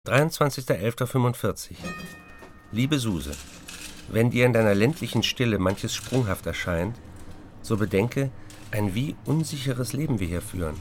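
An actor playing a troubled male writer, reading his letters in a measured, confiding, literary manner for a documentary. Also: medium pitch, animated, slight background noise, natural voice. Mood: sober, weary.

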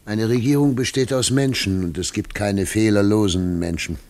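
A man's voice: lowish voice, moderate pace, a little mellow, close to an everyday voice. An actor playing an upright, stubborn governor and father in a radio drama, speaking formally and with authority. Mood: measured, candid. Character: upright, stubborn male governor and family patriarch. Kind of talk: German radio drama acting.

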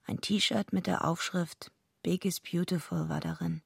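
An actress playing a gruff, streetwise young female fighter who speaks bluntly and tersely in a radio drama. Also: steady tone, measured pace, no room sound, studio quality, full character voice. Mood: dry, brooding. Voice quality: low, husky, gravelly. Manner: blunt, terse, laconic first-person telling. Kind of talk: radio drama acting with narration.

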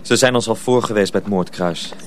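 Deep-voiced calm senior apprentice played by a man. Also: fairly quick, slight background noise, natural voice. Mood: calm, grave. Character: calm, knowing older fellow apprentice at night. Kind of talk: radio drama acting.

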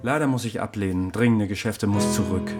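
An actor in a radio drama playing a smug, bossy official with pointed, commanding politeness. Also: male voice, on the low side, brisk, over loud music, close to an everyday voice. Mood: smug, imperious. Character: smug Nazi-era official, coldly courteous and bossy.

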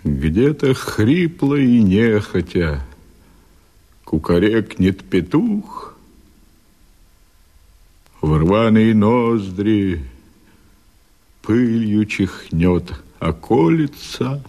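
An actor playing a doomed, anguished rebel leader, declaiming verse impassioned and drawn out in a radio play. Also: male voice, fairly low pitch, very animated, dark tone, heavily acted. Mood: anguished, nostalgic. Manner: impassioned, drawn-out, tragic delivery. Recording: long reverb, music behind.

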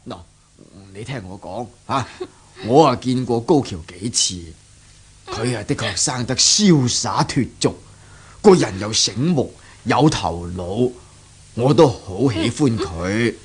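A man's voice: mid-range voice, expressive, slow pace, slightly rough, full character voice. An actor playing an indulgent, worldly middle-aged father in a Cantonese radio drama, coaxing and chuckling, with a Hong Kong accent. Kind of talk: 1970s radio drama acting. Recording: noisy old 1970s radio recording.

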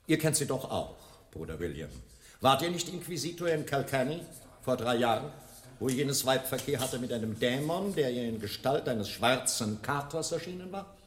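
An actor playing a sanctimonious, learned medieval inquisitor in a German radio drama, pompous, theatrical and sneering. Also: male voice, medium pitch, expressive, moderate pace, roomy sound, natural voice. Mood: insinuating.

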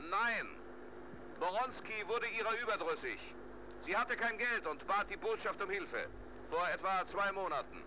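A man's voice: high voice, lively intonation, moderate pace. A male actor playing a matter-of-fact ambassador on a long-distance call in a radio crime drama, speaking in clipped, factual phrases. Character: male ambassador phoning from South America, matter-of-fact, disapproving. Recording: noisy long-distance phone line, radio-like hiss.

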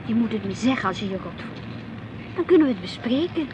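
An actress playing a middle-aged housewife in a radio drama, teasing and gently assertive, questioning and mildly protesting. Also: female voice, fairly high pitch, lively intonation, a little fast, close to an everyday voice. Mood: concerned, insistent. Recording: dull lo-fi radio play, faint noise.

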